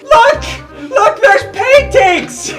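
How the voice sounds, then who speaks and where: Woman putting on a squealing, wildly excitable young adventurer. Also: very high-pitched, extremely slow, over music, voice pushed up, exaggerated voice. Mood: giddy excitement. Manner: squealing, shouting with delight. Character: excitable, wide-eyed adventurer student Koss, gushing.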